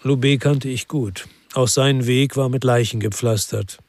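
A man's voice: medium pitch, fairly even intonation, unhurried, no room sound. An actor playing a world-weary ex-policeman who narrates a radio crime drama in a brooding, reflective way.

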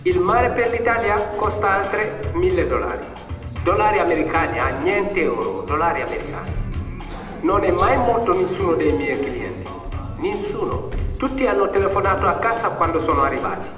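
A self-assured male people smuggler on stage. His voice is high for a man, brisk and insistent, repeating points like a dealer. It rings in an echoey hall with music clearly behind it. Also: animated, distant mic. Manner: businesslike, insistent, repeats himself for emphasis. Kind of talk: theatrical stage monologue.

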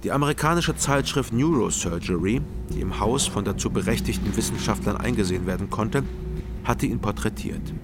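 An actor playing a young male investigator who narrates in a calm, dry, understated way in a radio crime drama. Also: on the low side, brisk, very noisy, close to an everyday voice. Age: young adult. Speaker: narrator and detective.

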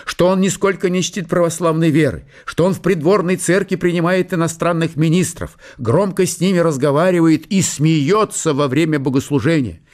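A man's voice: a little high, moderate pace, few pauses, darkish tone, natural voice. A man narrating a historical audio play in a scripted, literary storytelling style.

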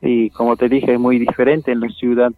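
Gravelly man on a tinny phone line. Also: medium pitch, flat delivery, brisk. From Formosa, northern Argentina. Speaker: interviewee, community representative. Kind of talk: informal interview answers.

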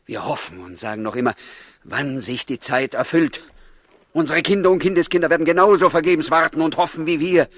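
An actor playing a bitter, sceptical rebel of ancient Judea, sarcastic and challenging, in 1960s German radio drama style. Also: male voice, on the high side, a bit bright, no echo.

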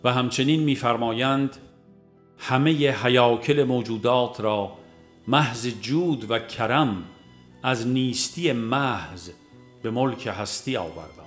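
A man reads a religious book aloud as an audiobook narrator, at a middle pitch. His delivery is formal and measured, set out phrase by phrase. Music plays along with his voice.

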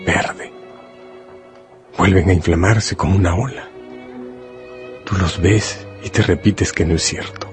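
Very deep, solemn male narrator. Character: solemn, hypnotic male narrator addressing the listener as protagonist. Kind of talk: scripted literary radio narration. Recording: music behind the voice.

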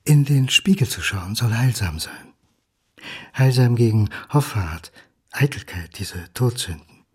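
A man's voice: medium pitch, lively intonation, measured pace, husky. An actor playing a lonely, hard-drinking son who speaks to his father in a sardonic, dramatic monologue. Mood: mocking, reflective.

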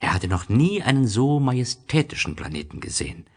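A man's voice: mid-range voice, big pitch swings, fairly quick, breathy edge. A man narrating a children's story as a scripted audio drama.

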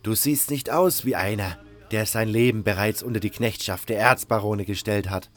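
A man's voice: fairly low pitch, moderate pace, a bit bright, soft background music, lightly acted. A man voicing a fanatical cult preacher in a fantasy audio drama, declaiming solemnly with insistent, rousing repetitions. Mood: probing, solemn. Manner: declamatory, rhetorical, repeating phrases insistently.

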